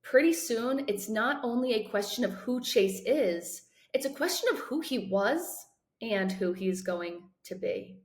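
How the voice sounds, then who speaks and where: A woman's voice: fairly high pitch, animated, measured pace. A woman hosting a read-aloud, introducing and narrating a book for students and teachers. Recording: roomy echo.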